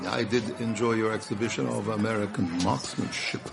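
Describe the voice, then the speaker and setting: An actor playing a suave Soviet deputy minister, formal and smooth with veiled menace, in a radio drama. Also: male voice, on the low side, flat delivery, a little fast. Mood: smooth, pointed. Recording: music playing behind the scene.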